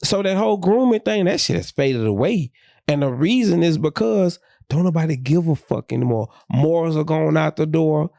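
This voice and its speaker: A man's voice: fairly high pitch, animated, vocal fry, mellow, no room sound, clean studio sound. A man co-hosting a podcast, talking brashly with lots of profanity in casual chat. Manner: brash, profane and quick to agree. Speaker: podcast co-host.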